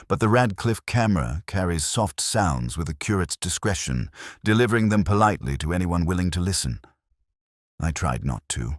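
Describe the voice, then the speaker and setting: A man reading a literary first-person mystery as narrator, in a measured, brooding and introspective manner. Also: a bass voice, no room sound, studio quality.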